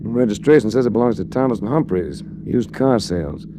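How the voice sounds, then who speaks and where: An actor playing a suave, wry hard-boiled investigator in a 1940s radio drama, with a dry, urbane delivery. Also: male voice, on the low side, lively intonation, a little fast, background noise, natural voice. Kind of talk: 1940s radio detective acting and narration. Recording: restored 1940s radio recording.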